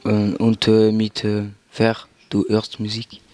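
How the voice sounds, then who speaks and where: A male student giving a halting classroom presentation, with many fillers and pauses as he searches for words. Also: lowish voice, completely flat delivery, a little mellow. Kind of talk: classroom presentation in a learned language.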